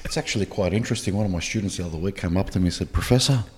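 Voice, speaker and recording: A man from Sydney, a podcast guest talking in a measured, explanatory way. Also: deep voice, long reverb tail, slight background noise. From Sydney, Australia. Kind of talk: casual podcast chat.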